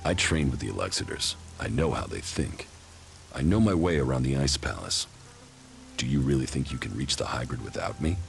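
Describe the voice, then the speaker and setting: An actor playing a grim, stoic commander in a video game, speaking in a terse, deadpan way. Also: male voice, extremely low pitch, fairly quick, gravelly, faint music behind, exaggerated voice. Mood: insistent. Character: grim, stoic male commander, a soldier turned outcast.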